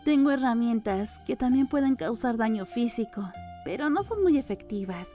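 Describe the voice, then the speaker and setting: A woman reader acts out a serious young apothecary woman in an audiobook. She speaks bluntly but with deference, in a fairly high, mellow voice. Music runs faintly underneath. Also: expressive, normal speed, close to an everyday voice. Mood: matter-of-fact. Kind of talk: audiobook character dialogue.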